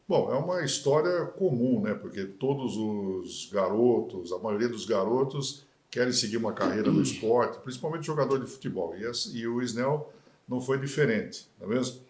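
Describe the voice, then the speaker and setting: A man hosting a formal TV interview with a Brazilian Portuguese accent, speaking in a measured, emphatic way with dramatic pauses. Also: mid-range voice, expressive, a little mellow, echoey room. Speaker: TV interview host.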